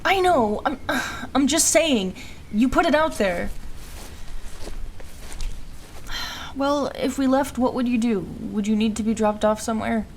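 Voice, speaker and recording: A woman's voice: on the high side, moderate pace, slight background noise, natural voice. A woman playing an anxious, self-doubting young woman in an audio roleplay, hesitant and emotional, defiant when pushed. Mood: agitated. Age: young adult.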